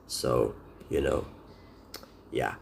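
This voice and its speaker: A man from the Philippines with a deep voice, talking casually on a live stream, rambling and correcting himself with many fillers. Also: fairly even intonation, extremely slow, vocal fry, slight background noise.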